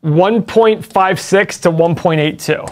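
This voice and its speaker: A man's voice: high-pitched, slow. A middle-aged man co-hosting a casual finance chat, explaining numbers step by step and asking rhetorical questions. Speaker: co-host and stock analyst.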